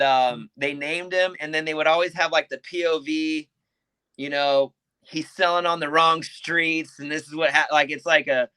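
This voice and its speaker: A man telling stories as a podcast guest, animated and casual, peppering his talk with "dude" and "like" and swearing freely. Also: a little high, clean tone, dry recording, poor audio. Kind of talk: casual storytelling.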